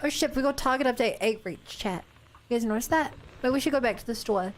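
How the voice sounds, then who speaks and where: A woman's voice: a little high, fast. A woman with a New Zealand accent, a game streamer chatting casually and reading on-screen text aloud.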